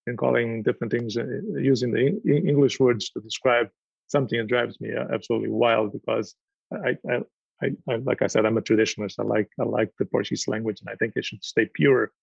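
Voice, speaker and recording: A man's voice: medium pitch, steady tone, fast talker, vocal fry, darkish tone, husky, no room sound. A man on a conference panel who talks with passion and often stammers and repeats words. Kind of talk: panel discussion. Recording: dull, dry, lo-fi sound.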